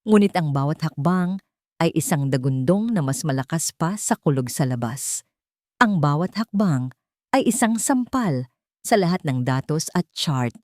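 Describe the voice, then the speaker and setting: Woman reading a dramatic audiobook, deep-ish voice, super dry close sound, suspenseful pauses. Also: animated, darkish tone, no echo. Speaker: audiobook narrator. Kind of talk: dramatic scripted story narration.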